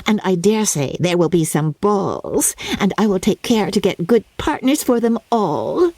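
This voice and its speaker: A woman's voice: mid-range voice, lively intonation, unhurried, creaky, a little mellow, no echo, voice pushed up. A woman voicing a giddy, boastful young newlywed who gushes in self-satisfied exclamations, in a storybook reading. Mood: breezy, confident. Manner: gushing, breathless exclamations, giggly.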